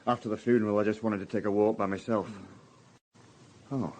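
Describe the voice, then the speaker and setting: An actor playing a plain-spoken young army private in a radio drama, with a Northern English accent and a hesitant, colloquial way of talking. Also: male voice, lowish voice, fairly even intonation, brisk, darkish tone, a little hiss or hum, lightly acted. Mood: awkward, guarded. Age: young adult. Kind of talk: naturalistic radio drama acting.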